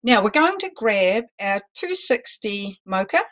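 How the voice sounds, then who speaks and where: A woman teaching a live balloon-twisting lesson, talking loudly and chattily with some self-deprecating humor. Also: mid-range voice, big pitch swings, measured pace. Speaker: balloon artist teaching a workshop. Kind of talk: live online demonstration lesson.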